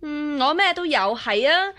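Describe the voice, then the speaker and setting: An actress playing a spoiled young princess who wheedles and pouts, in Hong Kong Cantonese radio drama style. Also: female voice, high-pitched, animated, a little slow, bright, forceful tone, exaggerated voice. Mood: whiny, wheedling. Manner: whiny, pouty, coaxing.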